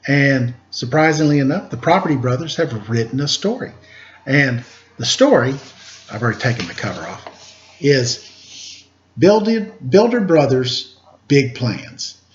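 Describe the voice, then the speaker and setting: A man reading a children's picture book aloud at storytime, with casual asides to his listeners. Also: medium pitch. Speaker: storytime reader, teacher. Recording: roomy, dull, faintly noisy home recording.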